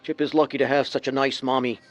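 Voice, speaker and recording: A man's voice: medium pitch, flat delivery, fast, lightly acted. A man playing an over-friendly, folksy older man in a radio drama.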